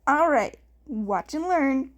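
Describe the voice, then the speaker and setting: A woman's voice: high-pitched, expressive, takes it slowly. A woman voicing a playful, teasing adult man, a big brother, in story dialogue. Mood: upbeat.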